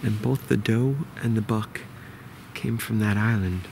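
Man, 40s, New York accent, gravelly low voice, hushed outdoor vlog, faint background noise. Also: measured pace, low-quality recording. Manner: quiet, low-key explaining. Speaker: bowhunter. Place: outdoors in winter woods. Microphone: camera held close to face.

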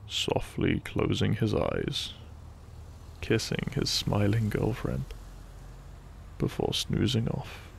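A man narrating a gentle sleep-aid story in a soothing, hushed way, lingering between short phrases. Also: on the low side, creaky, a little hiss or hum. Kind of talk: soft, gentle bedtime story narration, ASMR-like.

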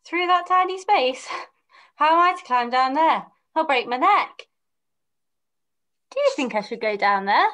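A woman's voice: high-pitched, animated, unhurried, pauses often, bright, forceful tone, heavily acted. A woman playing a plucky, wary village boy in an amateur pantomime read-through, questioning and theatrical. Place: indoors at home, on a video call.

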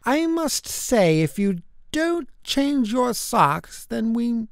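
Woman as a pompous principal, higher and creaky. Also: sing-song, slow, no echo, studio quality, heavily acted. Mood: pompous, stern. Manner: pompous, harrumphing. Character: pompous male school principal, stern, pinched nose.

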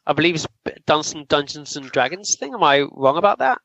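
A man with a fairly high, forceful voice talks casually as a podcast co-host. He lays out details in an informative way. The sound is close and dry but a little dull. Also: sing-song, fairly quick, creaky, no echo. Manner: informative, explaining trivia in detail. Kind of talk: casual film review chat.

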